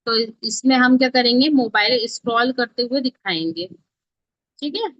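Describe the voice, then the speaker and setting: Woman, Indian accent, higher-pitched voice, conversational online instructor, informal step-by-step delivery, dry muffled recording. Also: normal speed, a bit bright, dry recording. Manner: informal, checks in with learners. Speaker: online instructor teaching mobile video editing. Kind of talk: live step-by-step tutorial, conversational. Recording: dull, lo-fi, close dry audio.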